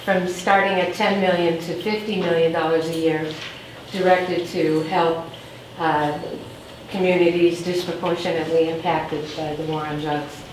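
Low-voiced woman, calm and informed, picked up off-mic in an echoey room. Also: distant mic, noisy background. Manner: informed, measured. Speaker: audience member, activist. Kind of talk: speaking up from the audience. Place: event room, indoors.

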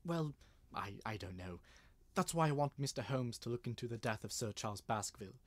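A man playing an earnest, nervous gentleman with a formal manner in an old-style radio mystery. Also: medium pitch, lively intonation, a little fast, natural voice. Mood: uneasy, earnest. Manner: hesitant, formal. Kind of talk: 1930s radio mystery acting.

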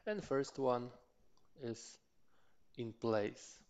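A man in his thirties, a Polish native speaker, explaining an engine rebuild in his workshop, talking haltingly with many fillers and restarts. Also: mid-range voice, expressive, a little mellow, clean tone. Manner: slow and hesitant, many filler sounds and restarts. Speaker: motorcycle mechanic channel host. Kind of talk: hands-on repair tutorial. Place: cluttered home workshop.

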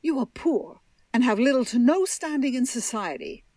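A woman's voice: high-pitched, big pitch swings, normal speed, a little mellow, no room sound, studio quality. An actress playing a stern, haughty older society lady in a period audio drama, formal and imperious. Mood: scathing.

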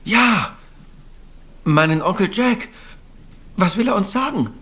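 An actor playing an earnest, puzzled gentleman, the loyal male sidekick to a detective in a crime radio play. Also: sits high, animated, slow pace, full character voice. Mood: curious, impressed.

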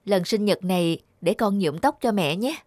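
A man's voice: high voice, animated, normal speed, darkish tone, no echo, clean studio sound. A man voicing a caring young father in a cartoon, reassuring and coaxing. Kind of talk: cartoon voice acting.

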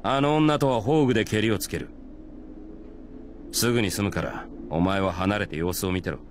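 An actor playing a gruff, confident spearman in a visual novel game, talking in rough, casual, matter-of-fact speech. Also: on the low side, moderate pace, a little hiss or hum, close to an everyday voice. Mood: calm, blunt. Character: gruff, confident male spear-wielding warrior, blunt and unflinching.

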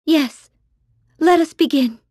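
A woman voicing an earnest, solemn young priestess in a video game, speaking formally and deliberately. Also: high voice, mellow, no room sound, studio quality. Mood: earnest, resolute.

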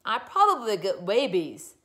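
A woman's voice: lowish voice, huge pitch swings, vocal fry, exaggerated voice. A woman voicing a whiny, aggrieved eight-year-old girl in a storybook, bawling and drawing out her complaints. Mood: aggrieved whining. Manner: bawling, shrieking, drawn-out whining.

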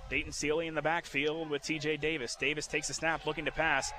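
A man with a high-pitched voice calls live football on the radio. He is excitable, moving from steady descriptions to shouted calls. The broadcast comes from a stadium outdoors, with clear background noise. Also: brisk. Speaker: radio play-by-play football commentator. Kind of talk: live sports radio play-by-play commentary.

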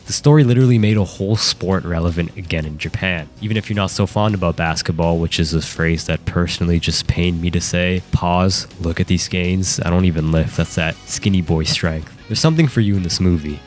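A man's voice: low-pitched, fairly quick, few pauses, a little mellow. A man in his twenties, a native French speaker, giving casual, jokey anime commentary full of slangy, self-deprecating asides into a close microphone. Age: 20s. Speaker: anime YouTuber. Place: small indoor room studio. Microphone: close desk microphone. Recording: faint music behind. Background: faint music.

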